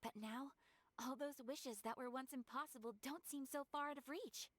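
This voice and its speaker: A woman voicing a gracious young noblewoman in video game dialogue, speaking calmly, politely and formally. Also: sits high, normal speed, no echo. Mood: wistful. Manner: calm, refined, formal, polite.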